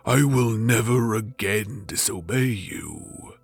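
A man voicing a huge, haughty, wrathful djinn from a storybook, with slow, menacing, grandly dramatic delivery. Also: lowish voice, creaky, breathy edge, exaggerated voice. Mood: pleading, submissive. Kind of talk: storybook villain.

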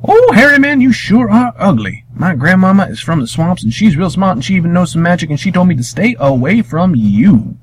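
A man doing a high-voiced frightened country boy. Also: brisk, few pauses, husky, heavily acted. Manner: high, excited and pleading. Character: scared young country boy.

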